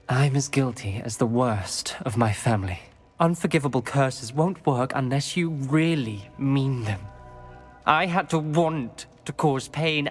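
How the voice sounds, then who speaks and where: A male actor as a young wizard student in a video game, haunted by guilt yet principled. He speaks formally and gravely at a middling pitch, with music playing clearly behind him. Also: close to an everyday voice. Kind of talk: scripted game cutscene acting.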